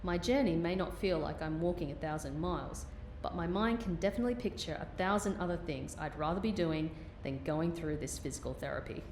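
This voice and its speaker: A woman's voice: on the low side, fairly quick, darkish tone, a little hiss or hum, lightly acted. A woman playing a wry, stubborn agent recovering from injury in an audio drama, with a dry, defiant manner.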